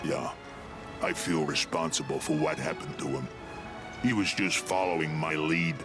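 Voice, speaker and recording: An actor playing a suspicious, moody alien club leader in a video game, speaking in a guarded, grave manner. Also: male voice, rumbling low, steady tone, moderate pace, over music. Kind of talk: video game cutscene acting.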